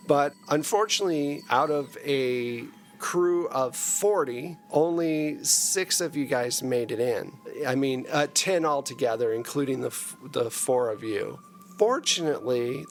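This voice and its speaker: A man runs a tabletop sci-fi game as host and game master. He explains the scene conversationally at a slow pace, in a medium-pitched voice.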